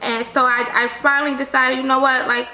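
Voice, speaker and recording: A woman's voice: high-pitched, little pitch movement, brisk, sharp tone, reverberant, slight background noise, poor audio. A woman in her twenties talking casually to camera, rambling and correcting herself often. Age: 20s. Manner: rambling, frequent self-corrections and "like" fillers. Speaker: vlogger. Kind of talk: casual opinion monologue.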